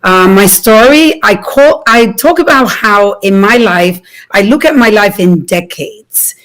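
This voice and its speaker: A woman in her fifties from the Dominican Republic, telling her life story to an audience in an earnest way, with many small pauses. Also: mid-range voice, animated, fairly bright tone. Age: 50s. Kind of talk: personal talk to an audience.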